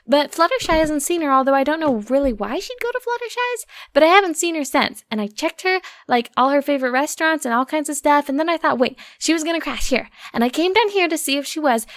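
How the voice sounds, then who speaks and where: A woman acts out an anxious young mare from a storybook. Her voice is high and girlish, and she rattles on breathlessly in gossipy, run-on sentences. The sound is close and dry. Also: a bit bright, no echo. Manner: breathless run-on rambling full of "or whatever". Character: frantic, rambling young female pony, anxiously gossipy. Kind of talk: audiobook character voice.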